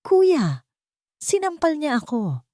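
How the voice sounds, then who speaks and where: A woman's voice: high-pitched, huge pitch swings, slow pace, warm tone, dry recording, studio quality, voice pushed up, heavily acted. A woman voicing a drama-making, deceitful young sister-in-law, whining and tearful, in a story. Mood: accusing, whiny.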